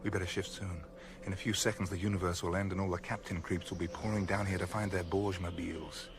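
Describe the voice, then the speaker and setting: An actor playing a brash, excitable male space adventurer in a radio comedy, cocky and full of slang. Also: low voice, fast talker, music in the background. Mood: conspiratorial. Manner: cocky, slangy, jive-talking. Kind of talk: radio comedy drama acting.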